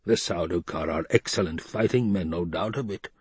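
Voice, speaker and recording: A man's voice: sits low, normal speed, husky, no echo. A man voicing a fat, scheming baron in an audiobook, pompous, blustering and petulant. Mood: petulant, evasive. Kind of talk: dramatized audiobook reading.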